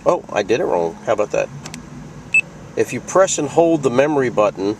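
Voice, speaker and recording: A man's voice: medium pitch, very animated, normal speed, a little mellow, distant mic, a little hiss or hum, low-quality recording. A man hosting a casual product tutorial, explaining things plainly and step by step. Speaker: video host demonstrating a radio.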